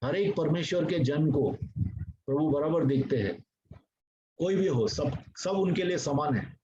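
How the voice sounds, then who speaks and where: A man's voice: a little high, lively intonation, unhurried, dark tone. A male pastor preaching an earnest sermon, speaking directly to his congregation and slipping English phrases into his talk. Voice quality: mixes English phrases into speech. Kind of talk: sermon teaching. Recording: dull lo-fi recording with faint noise.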